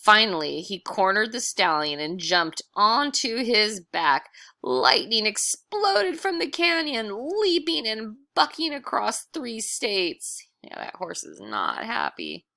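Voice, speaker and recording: A woman reading a picture book aloud in a playful, amused and animated way, with casual asides to her listeners. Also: mid-range voice, takes it slowly, creaky, no echo, clean studio sound. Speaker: read-aloud storyteller.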